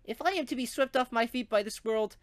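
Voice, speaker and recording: A man's voice: extremely high pitch, brisk, background noise, pitched above the performer's own voice. A man voicing a prim, dainty young woman with a melodramatic, earnest manner in a comic story reading. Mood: earnest, determined.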